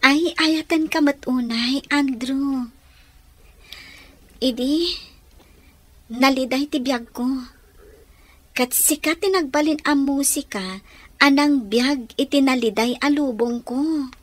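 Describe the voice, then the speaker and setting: High-voiced Filipina radio drama woman. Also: takes it slowly, pauses often, no echo. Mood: tender, wistful. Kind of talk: Ilocano radio drama acting.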